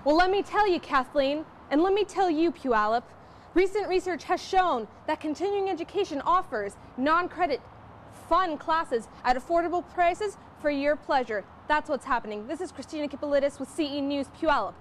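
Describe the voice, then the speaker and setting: A woman's voice: high-pitched, animated, normal speed, a little hiss or hum. A woman doing a scripted mock TV news report as a field reporter, with an exaggerated, peppy delivery. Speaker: field reporter in a college's comedic promo newscast.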